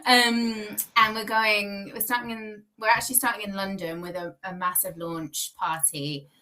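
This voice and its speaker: A woman's voice: mid-range voice, steady tone, normal speed, sharp tone. A woman guest on a live video-call podcast, talking casually about her work, laughing often and correcting herself as she goes. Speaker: guest, founder of a communications agency.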